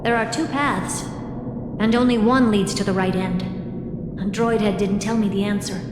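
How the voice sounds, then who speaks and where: An actress playing a solemn, enigmatic oracle in a video game, speaking in a measured, cryptic way. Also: female voice, mid-range voice, steady tone, lightly acted. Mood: grave, measured. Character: solemn, enigmatic female oracle explaining fate to another. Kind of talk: dramatic video game story dialogue. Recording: echoey reverberant hall, music underneath.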